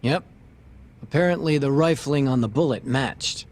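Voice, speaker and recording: Cynical, streetwise police detective played by an actor. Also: male voice, mid-range voice, moderate pace, darkish tone, natural voice. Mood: sardonic. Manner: sarcastic, streetwise, foul-mouthed. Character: cynical, shady male police detective, framed and grumbling. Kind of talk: English video game dub acting.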